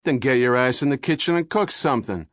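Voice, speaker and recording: A crude husband with a thick New Jersey accent and a deep, gruff voice, talking loud and short, played by a male audiobook reader. The sound is dry and close to the mic. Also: lively intonation, fast, no echo, natural voice. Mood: curt. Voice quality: deep, coarse.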